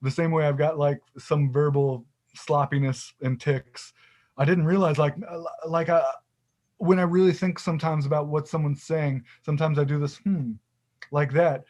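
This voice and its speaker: A man chatting on a video call in a halting way, restarting his sentences, with a somewhat monotone delivery. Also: a little high. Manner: halting, many restarts, says himself he is monotone. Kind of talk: casual video call chat.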